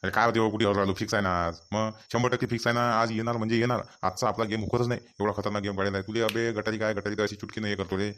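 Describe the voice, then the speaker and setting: A man's voice: on the low side, flat delivery, very fast, hardly any pauses, a bit bright, slight background noise, natural voice. A man playing an impatient, grumbling village gambler in a comedy cartoon, with a colloquial, nagging Varhadi Marathi accent from Vidarbha. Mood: impatient, urging.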